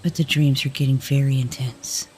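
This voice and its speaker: Woman acting candid, low-voiced worried heroine. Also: level tone, moderate pace, a little mellow, poor audio. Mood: anxious. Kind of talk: fantasy audio drama acting. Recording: faint music behind the voice.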